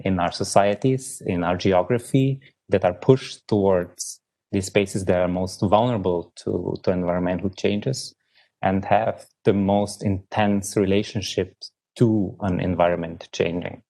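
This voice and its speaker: A man in his thirties from Slovenia, answering interview questions in a halting, reflective way, with many pauses and restarts. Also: low-pitched, creaky, a little mellow. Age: 30s. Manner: halting, thinks aloud with many ums and restarts. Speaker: poet guest.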